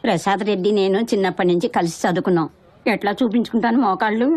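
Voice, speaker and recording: A woman's voice: medium pitch, rapid-fire, a little mellow, close to an everyday voice. A woman playing an embarrassed, scolding family member in a film comedy.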